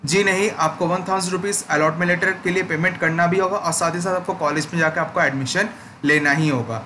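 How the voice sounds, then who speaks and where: A man's voice: a little high, fairly quick, bright, forceful tone, slight background noise. A young man hosting a screen-recorded tutorial, explaining steps patiently and checking in often with listeners. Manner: explanatory, repeats "ठीक है" check-ins. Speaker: education channel host.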